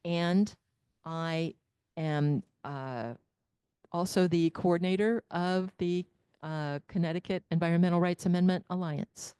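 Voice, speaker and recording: Smooth low-voiced woman leading a workshop. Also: fairly even intonation, unhurried, warm tone, dry recording. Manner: organized, walks through the agenda step by step. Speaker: workshop facilitator. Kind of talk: leading a training session. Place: meeting room with people present and online. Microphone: handheld microphone.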